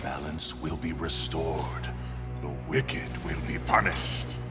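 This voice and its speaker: A man voicing a stern, hulking warrior horseman in a video game, making solemn, forceful pronouncements. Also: very deep voice, takes it slowly, loud music behind the voice. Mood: stern, resolute. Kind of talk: dramatic video game acting.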